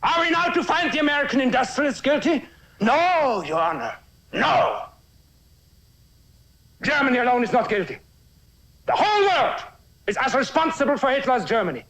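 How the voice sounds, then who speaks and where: Casting: fiery film defense lawyer, high-pitched, sweeping intonation, hammering rhetorical questions. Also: male voice, sing-song, normal speed, long pauses, a bit bright, slight background noise, exaggerated voice. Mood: impassioned. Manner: oratorical, emphatic, rhetorical questioning. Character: impassioned male defense lawyer arguing before a tribunal. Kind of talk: dramatic courtroom film speech.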